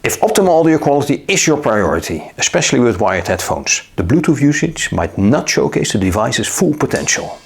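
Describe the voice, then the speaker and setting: Guy doing a scripted gadget review voiceover, pitch moves around a lot, bit gravelly and husky. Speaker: product reviewer. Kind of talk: scripted narration of a tech review.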